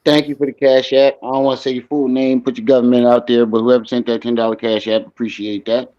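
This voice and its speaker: A man's voice: medium pitch, little pitch movement, fast talker, dark tone, muffled recording. A man hosting a livestream, loose and profane, thanking his viewers for donations. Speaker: livestream host. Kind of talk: casual livestream commentary.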